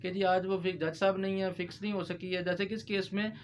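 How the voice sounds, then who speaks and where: A man's voice: high-pitched, level tone, fairly quick. A man explaining legal procedure as a lawyer in discussion, mixing in English legal terms. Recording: clear background noise.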